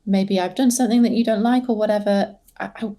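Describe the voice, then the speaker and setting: A woman's voice: mid-range voice, fairly even intonation, fast, mellow. An English woman being interviewed as a social media manager, talking casually with many fillers and restarts. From England.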